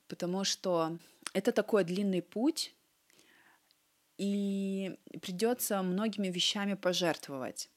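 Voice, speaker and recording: A young adult woman surgeon from Russia, Ossetian her first language, telling stories earnestly with lively acted-out quotes in an interview. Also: medium pitch. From Russia (North Ossetia). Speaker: cardiac surgeon, interview guest. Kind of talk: conversational interview answers.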